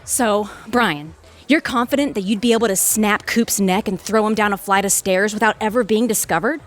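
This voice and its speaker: A woman's voice: mid-range voice, normal speed, lightly acted. A woman playing an arrogant, calculating lawyer in an audio drama, articulate, condescending and self-assured. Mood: condescending, coolly amused. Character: arrogant, calculating Harvard-trained female lawyer.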